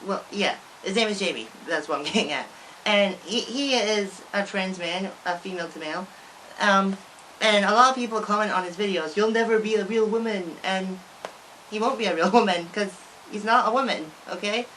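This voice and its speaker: Young woman vlogging, rambly and giggly, lots of likes and restarts, average pitch, bit of hiss. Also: normal speed, a bit bright, rough recording. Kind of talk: casual talk to camera.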